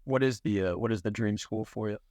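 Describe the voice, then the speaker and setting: A man's voice: lowish voice, fairly quick, a little mellow, dry recording. A man co-hosting a sports podcast, putting long, loosely phrased questions in a conversational way. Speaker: podcast co-host and interviewer.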